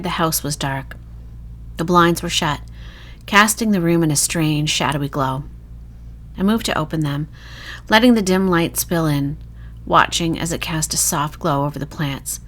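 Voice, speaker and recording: A woman reading a first-person horror short story in a dramatic, emotive, hushed storytelling manner. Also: sits low.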